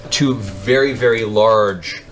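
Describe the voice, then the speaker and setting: A man's voice: lowish voice, slow, poor audio. A man working as game master, improvising descriptive, dramatic fantasy narration for a tabletop game.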